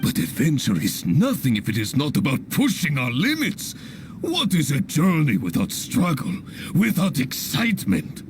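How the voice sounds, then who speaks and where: An actor playing a hearty, pompous, long-winded leader of adventurers in an anime-style video game, speaking in grand theatrical speeches. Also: male voice, fairly high pitch, background noise.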